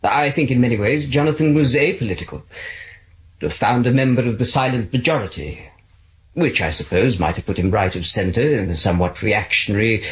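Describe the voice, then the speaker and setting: A reserved, well-spoken English professional man played by a male actor in an old radio play. He answers in a clipped, guarded way at a middling pitch. The sound is dull and dated. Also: brisk, close to an everyday voice. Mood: guarded, polite. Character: reserved, educated male accountant under police questioning. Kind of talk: 1970s British radio drama acting.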